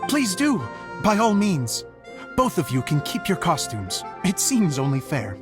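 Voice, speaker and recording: Expressive, unhurried theatrical director. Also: male voice, high voice, loud music behind the voice, full character voice. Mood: warm, grateful. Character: theatrical, sentimental grown male show director, grateful dreamer. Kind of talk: animated game cutscene acting.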